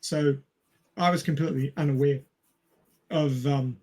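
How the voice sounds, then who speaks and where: A man with a notably high voice in a casual podcast film discussion. He talks in a wry, jokey manner, like one of a regular panel. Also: fairly even intonation, unhurried, darkish tone.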